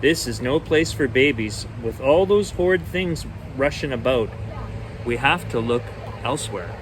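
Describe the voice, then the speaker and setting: A man's voice: medium pitch, expressive, unhurried, noisy background, natural voice. A man voicing a fussy, protective mother mallard duck with fretful storybook speech. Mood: flustered, alarmed.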